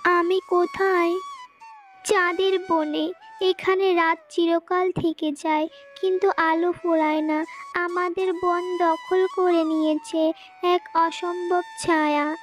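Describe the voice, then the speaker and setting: A woman as an extremely high-pitched fairy girl. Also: level tone, slow pace, soft background music. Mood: wondering. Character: earnest young girls and fairies of a magic forest. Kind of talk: animated fairy-tale dialogue.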